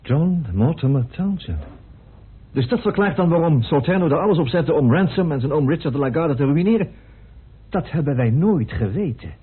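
A man's voice: fairly high pitch, lively intonation, moderate pace, slightly rough. An actor in a radio play voicing a stubborn, wry man guarding a secret, emphatic and evasive. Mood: surprised, musing. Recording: muffled phone-like old radio recording.

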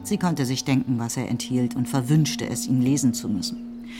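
A woman with standard German diction narrating a literary radio play in a measured, articulate storytelling manner. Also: very deep voice. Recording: music behind the voice. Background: music.

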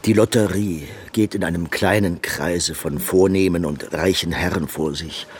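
An actor in a German radio drama playing a worldly Venetian painter and go-between, smooth, insinuating and coolly persuasive. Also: male voice, deep voice, normal speed. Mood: confiding, matter-of-fact.

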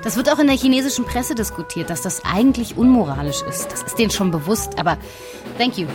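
A woman's voice: on the high side, expressive, quick pace, natural voice. An actress playing an opinionated European expat woman, conversational, emphatic and blunt, in a naturalistic radio play. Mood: insistent. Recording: music behind the voice.

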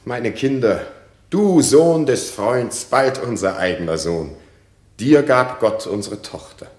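A man playing a remorseful king and father in a classic radio drama, speaking verse in an earnest, theatrical, deliberate way. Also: medium pitch, lively intonation, a little mellow, echoey room. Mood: grateful joy. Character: remorseful king and father, reunited with his wife.

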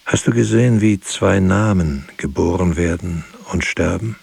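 An actor playing a solitary, grieving writer in a poetic radio drama, musing in solemn, measured, incantatory repetitions. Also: male voice, sits low, vocal fry, darkish tone, breathy edge, no room sound, full character voice. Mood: solemn, mournful.

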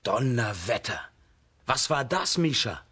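An actor playing a strong, good-natured hero with a blunt, jovial manner in a children's radio play. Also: male voice, medium pitch, sing-song, a little slow, pushed and bright, breathy edge, exaggerated voice. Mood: curious, amused.

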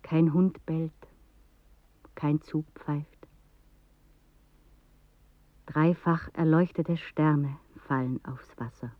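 Dreamy woman, hushed slow recitation, radio poetry, dry phone-like recording. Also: sits low, fairly even intonation, dry recording. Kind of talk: poetic radio drama recitation.

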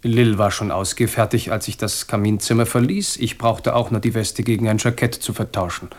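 A man's voice: lowish voice, steady tone, normal speed, close to an everyday voice. A man playing an evasive, deferential chauffeur and servant under suspicion in a German radio crime drama, formal and guarded in standard German. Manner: formal, guarded, politely indignant. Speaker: actor playing a murder suspect.

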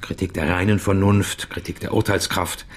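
An actor playing a weary, brooding journalist in his late forties with a gravelly voice, in a naturalistic radio drama. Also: low voice, little pitch movement, normal speed. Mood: dry, explanatory. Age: late 40s. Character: weary brooding male journalist nearing fifty, jealous and reluctant.

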